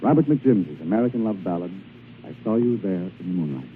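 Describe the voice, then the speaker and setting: A man's voice: deep voice, lively intonation, brisk, very noisy. A man announcing a scripted 1940s radio show, on a tinny old broadcast recording with music behind him. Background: orchestra music.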